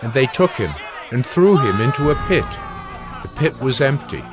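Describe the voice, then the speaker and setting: A man narrating dramatized scripture in a measured storytelling manner. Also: mid-range voice, big pitch swings. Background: background music.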